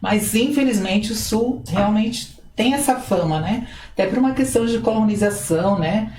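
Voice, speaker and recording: A woman speaking thoughtfully and calmly as an interview guest. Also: medium pitch, moderate pace, echoey room, far from the mic, slight background noise, poor audio. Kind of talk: conversational interview.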